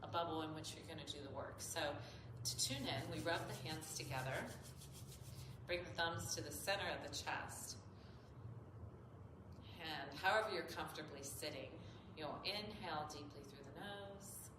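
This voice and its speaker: Low-voiced woman teaching yoga, echoey room. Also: moderate pace. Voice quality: slightly nasal. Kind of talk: spoken tutorial, informal explanation. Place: echoey indoor yoga studio. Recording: roomy echo, clearly noisy background.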